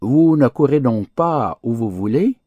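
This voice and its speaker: A man's voice: mid-range voice, expressive, a little slow, husky, no echo, phone-quality sound, pitched above the performer's own voice. A man voicing a starving, freedom-loving wolf in a storybook fable, eager and questioning. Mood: astonished, defiant.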